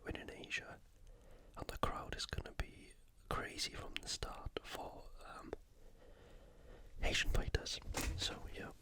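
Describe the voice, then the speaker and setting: A British ASMR host previewing sports in a soft, breathy, unhurried whisper. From United Kingdom. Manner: soft, hushed, unhurried delivery. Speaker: video host.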